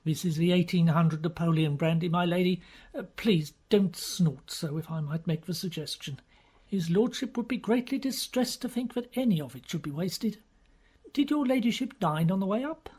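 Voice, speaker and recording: A woman voicing an unflappable male butler who speaks in a measured, deferential, formal way. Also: fairly low pitch, animated, dark tone, breathy edge.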